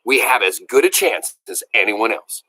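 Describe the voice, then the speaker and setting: Man acting an animated, eager old country grandpa. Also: on the low side, normal speed, breathy edge, full character voice. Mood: serious, earnest. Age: older. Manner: emphatic, blurting, folksy. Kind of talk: storybook read-aloud.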